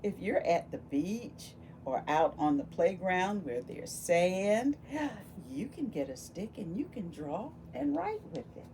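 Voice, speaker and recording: A woman reading a picture book aloud to young children, expressive and full of wonder, pausing to ask them questions. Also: lowish voice, slight background noise. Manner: animated, exclaiming with gasps and wonder, addressing young children. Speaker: volunteer children's read-aloud storyteller.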